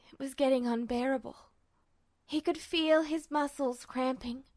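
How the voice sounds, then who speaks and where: High-voiced woman narrating fiction. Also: no echo, clean studio sound. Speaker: podfic narrator. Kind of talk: expressive scripted fiction narration.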